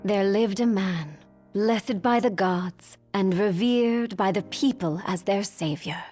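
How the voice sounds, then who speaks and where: A woman playing a solemn, deliberate narrator who tells an ancient legend in a video game. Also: mid-range voice, a little mellow, natural voice. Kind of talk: scripted story narration. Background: music.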